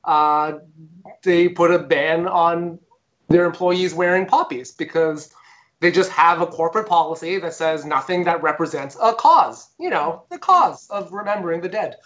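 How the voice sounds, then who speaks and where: A man's voice: fairly high pitch, normal speed, a bit bright, dull audio. A man co-hosting a podcast, talking in a rambling, sarcastic and sweary way. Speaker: podcast co-host. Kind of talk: casual opinionated chat.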